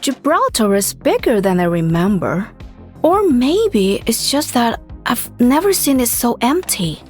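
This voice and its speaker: A woman voicing a gentle, earnest young scientist heroine in a video game. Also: fairly high pitch, sing-song, measured pace, faint music behind. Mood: wistful.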